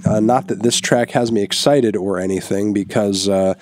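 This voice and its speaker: A man's voice: lowish voice, moderate pace, dry recording. Stuffy-nosed man reviewing songs. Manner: relaxed, frequent filler words, blunt verdicts. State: stuffy nose, sick. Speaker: music reviewer host. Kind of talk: casual opinionated music review. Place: small indoor room, newly set up. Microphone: large studio microphone on boom in front of him.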